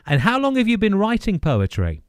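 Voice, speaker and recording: A man's voice: sits high, wildly swooping pitch, fairly quick, smooth, dry recording, clean studio sound. A man hosting a radio interview in an enthusiastic, encouraging manner. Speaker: radio host. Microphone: close studio radio microphone.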